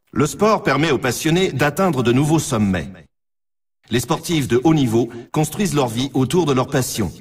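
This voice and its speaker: A man's voice: a little high, husky, far from the mic. A man playing a grandiose, emphatic announcer for an extreme snowboarding championship in a video game. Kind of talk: scripted dramatic sports game announcing.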